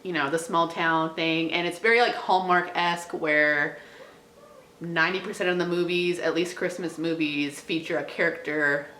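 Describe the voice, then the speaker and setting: Young woman, lowish pitch, bright clear-cut tone, casual vlogger chat, giggly and self-deprecating, roomy echo. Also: steady tone, normal speed, slight background noise. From small town. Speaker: book vlogger. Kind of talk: casual chatty book recommendations. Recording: echoey untreated room.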